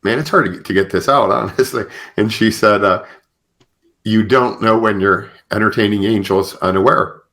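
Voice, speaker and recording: A man hosting a podcast, telling stories conversationally in a halting way with repeated phrases. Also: on the low side, fairly bright tone. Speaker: podcast host.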